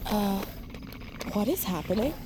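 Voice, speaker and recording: A woman's voice: medium pitch, animated, measured pace, noisy background, lightly acted. A woman playing a wisecracking young woman on the run in a naturalistic audio drama, with a wry, incredulous manner. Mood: stunned.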